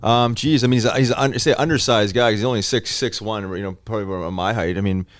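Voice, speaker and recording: A man co-hosting a casual sports podcast in a studio, informal and halting, repeating words as he goes. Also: fairly low pitch, no room sound.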